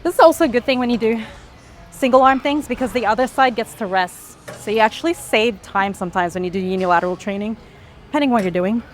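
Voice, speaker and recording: A woman's voice: fairly high pitch, fast, soft background music. A woman fitness vlogger explaining exercises while she does them, a little out of breath, with effortful sighs and exhales. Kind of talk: casual workout instruction. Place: gym.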